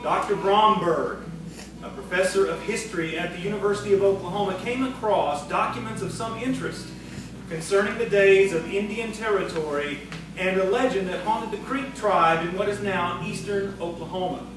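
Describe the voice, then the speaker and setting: A man with an American accent reading scripted narration as a measured, solemn storyteller. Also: high voice. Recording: very echoey, distant, music behind.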